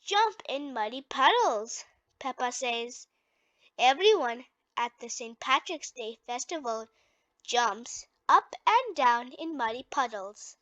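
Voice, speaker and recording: A reader telling a children's picture book aloud with expressive, deliberate phrasing, as if for young listeners. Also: mid-range voice, highs cut off. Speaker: storybook reader.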